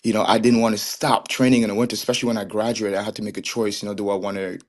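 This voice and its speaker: A man's voice: fairly low pitch, flat delivery, rapid-fire. A young man from Cameroon, a soccer trainer, telling his story as an interview guest in an earnest way, often restarting with repeated words. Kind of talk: casual interview chat.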